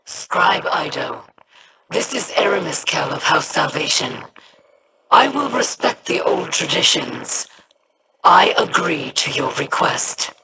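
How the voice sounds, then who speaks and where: An actress voicing a proud alien pirate leader in a video game, formal and solemn. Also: female voice, deep voice, animated, slow, heavy background noise, heavily acted. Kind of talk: game voice acting.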